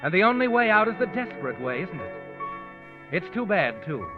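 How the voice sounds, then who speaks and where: Casting: ominous, taunting radio suspense narrator, higher-set American voice, music underneath, muffled vintage sound. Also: male voice, sing-song. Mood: knowing, taunting. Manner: ominous, deliberate, insinuating. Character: sinister, knowing male narrator taunting the guilty. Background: dramatic music.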